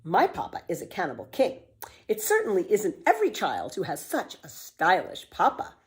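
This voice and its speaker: A woman's voice: medium pitch, wildly swooping pitch, normal speed. A woman voicing a confident, carefree nine-year-old girl from a children's storybook, with a cheerful, boastful, exclamatory delivery. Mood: proudly boastful.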